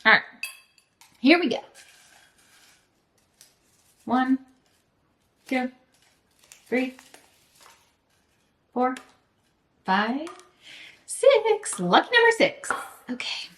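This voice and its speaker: A woman chatting casually and emphatically to viewers as a book vlogger, with animated, informal delivery and a self-deprecating sense of humour. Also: high voice, extremely slow, long pauses. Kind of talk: casual chatty vlog to camera.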